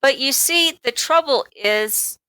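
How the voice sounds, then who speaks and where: A woman voicing an eager, polite young boy in a children's storybook, speaking in an earnest, halting way. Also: high-pitched, sharp tone, dry recording, voice pushed up, heavily acted. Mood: hesitant. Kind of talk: children's storybook read-aloud.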